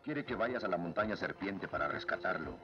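A man's voice: sits low, lively intonation, a little fast. A man voicing a grave, cautious soldier mentor in a dubbed cartoon. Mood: concerned. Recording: dull lo-fi cartoon soundtrack, music behind.

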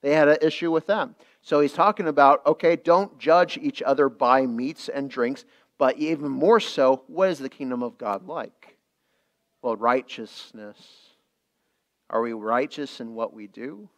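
A man's voice: medium pitch, measured pace. A male pastor preaching a midweek sermon, earnest, repeating phrases and restarting lines.

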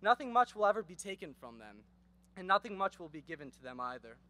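Teenage boy, high voice, dry close sound, earnest speech with wry jokes, podium mic outdoors. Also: very animated, fast talker, sharp tone, no room sound. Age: teens. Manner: earnest, rhetorical, with wry asides. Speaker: graduation speaker. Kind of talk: prepared commencement speech. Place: outdoor stadium stage. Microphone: podium microphone.

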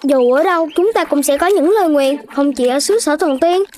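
An actor voicing an excitable young animal child in a children's cartoon, with an exclamatory, childlike way of talking. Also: sits high, brisk, natural voice. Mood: earnest.

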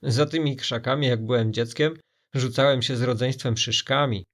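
A man's voice: mid-range voice, fairly even intonation, normal speed, close to an everyday voice. A man voicing a nervous storybook character who bluffs hesitantly with false carefree confidence. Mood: falsely carefree.

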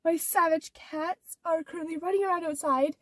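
Very high-voiced stuffed-up teenage girl. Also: steady tone, normal speed, fairly bright tone, no room sound, recorded from a distance. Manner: rambling, many "like" and "or whatever" fillers. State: stuffed-up nose from allergies. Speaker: vlogger. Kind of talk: casual vlog chat. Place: inside her car.

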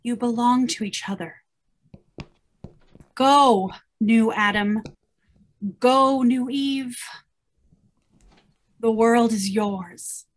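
Old-time radio scientist, aged and weary, played by a woman: high, slow, theatrical, distraught. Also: low-quality recording. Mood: tender solemn. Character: aged, anguished, weary last human scientist. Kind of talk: 1930s radio drama acting. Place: indoors at home on a video call.

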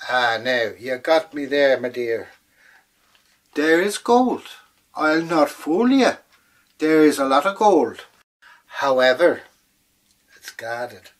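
A man voicing a small, mischievous male leprechaun, shrill, loud and wheedling. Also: animated, slow, long pauses, recorded from a distance. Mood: sly.

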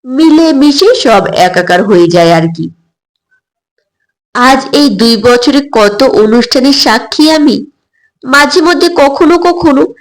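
A woman reading a scripted first-person story as narrator, in an expressive storytelling style. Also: fairly high pitch, poor audio.

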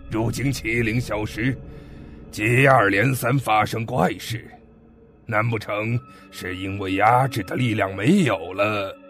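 A man playing a stern father in an ancient-era audio drama, speaking gravely and with authority. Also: lowish voice, steady tone, a bit bright, faint music behind. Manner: slow, grave, commanding. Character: stern father of a young heir, ancient China. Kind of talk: fan audio drama acting.

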